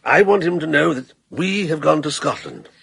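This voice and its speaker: An actor playing a persuasive middle-aged banker in a radio drama, also narrating the story. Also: male voice, on the high side, lively intonation, normal speed, vocal fry, a bit bright, husky, no room sound, heavily acted. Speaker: narrator and character.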